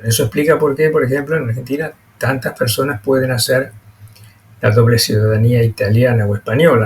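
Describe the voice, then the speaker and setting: A man presenting an explanatory lecture over slides, speaking haltingly with frequent pauses and repeated words. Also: medium pitch, slight background noise.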